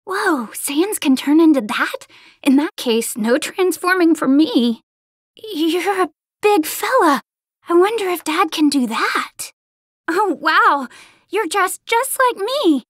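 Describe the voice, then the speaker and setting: A woman's voice: very high voice, animated, slow, dry recording, clean studio sound, full character voice. A woman voicing a cocky, spirited young girl fighter in an anime video game, with a girlish, sassy, exclamatory delivery. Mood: surprised, curious. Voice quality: girlish, youthful. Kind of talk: English-dubbed anime video game battle lines.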